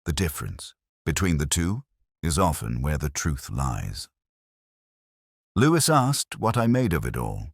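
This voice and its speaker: A man reading first-person detective fiction in a measured, literary, melancholic and introspective manner. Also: rumbling low, very animated, breathy edge, no echo, clean studio sound. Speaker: narrator of a detective story. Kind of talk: first-person scripted mystery narration.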